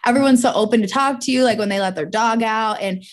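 A woman's voice: a little high, fast talker. A young woman in her twenties co-hosting a podcast, bubbly and casual, laughing often and filling her talk with "like" and "dude.". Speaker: podcast co-host. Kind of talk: casual chat.

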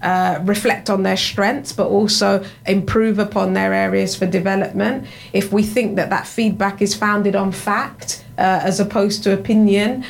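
A woman's voice: mid-range voice, steady tone, normal speed, vocal fry, slight background noise. A woman guest, an executive coach, speaking thoughtfully in a casual interview, with restarts and frequent agreeing murmurs.

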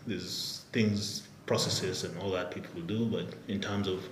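A man from Nairobi, Kenya, telling his story as a podcast guest in a relaxed, reflective way, laughing easily. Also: sits low, unhurried, a little hiss or hum. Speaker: podcast guest, startup executive. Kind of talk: casual interview storytelling. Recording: roomy sound with faint ambience.

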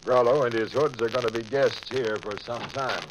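An actor playing a gruff, skeptical homicide captain in a brusque, commanding 1940s radio crime drama style. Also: male voice, mid-range voice, normal speed, natural voice. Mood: wary. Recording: crackly old-time radio recording.

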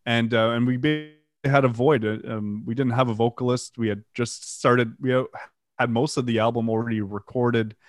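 A man's voice: mid-range voice, fairly even intonation, normal speed, no room sound. A French Canadian man, a metal band's vocalist, telling his band's story in a casual interview, rambling with fillers and casual swearing. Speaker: band vocalist and guitarist.

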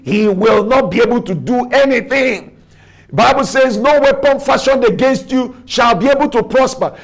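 A man preaching a sermon with a Nigerian accent, emphatic and repetitive, spelling out words and rising to shouts. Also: extremely high pitch. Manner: rises to shouts. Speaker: pastor.

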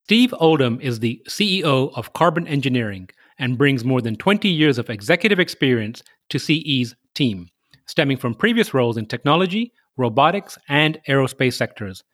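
A man's voice: medium pitch, sing-song, moderate pace, a bit bright. A man from Southeast London hosting a podcast, reading a guest introduction and chatting in a friendly, conversational way. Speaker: podcast host. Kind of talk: podcast interview.

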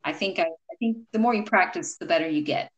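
A woman's voice: on the low side, muffled recording. Encouraging woman podcast host. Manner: friendly and encouraging, quick affirmations. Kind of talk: podcast interview.

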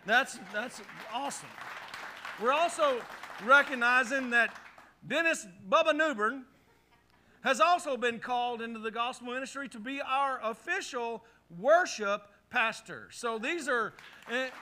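Middle-aged guy preaching on a church mic, high voice, real sharp and punchy. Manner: animated preaching with call-and-response. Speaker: pastor. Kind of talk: sermon. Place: church sanctuary. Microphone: handheld or headset mic.

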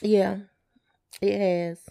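A woman chatting casually as a podcast co-host in a hesitant, low-key way. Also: level tone, drawn-out words, gravelly, warm tone, clean tone. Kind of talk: casual chat.

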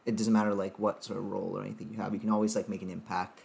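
A man from Sri Lanka with a slight British-influenced accent, a podcast guest in casual chat who talks in a relaxed, thoughtful way full of "like". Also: fairly low pitch, rapid-fire, gravelly. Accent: slight British-influenced English.

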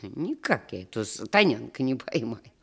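A woman's voice: extremely low pitch, very animated, normal speed, gravelly, slightly rough, exaggerated voice. An actress playing a doting, scolding old grandmother in a radio play, with rustic speech and a folksy, fussing manner. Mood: soothing, muttering.

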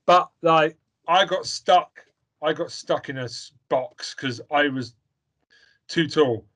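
A man's voice: on the high side, takes it slowly, fairly bright tone. Rambling opinionated man. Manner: opinionated and rambling, with many fillers and repeats. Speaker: podcast co-host. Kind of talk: casual chat reviewing a film.

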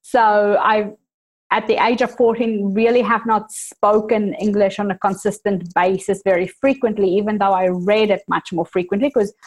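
A woman with a South African accent softened by New Zealand, a native Afrikaans speaker, chatting candidly as a podcast guest and laughing at her own jokes. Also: medium pitch, steady tone, moderate pace, rough recording. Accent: South African with New Zealand influence. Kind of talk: casual conversational storytelling.

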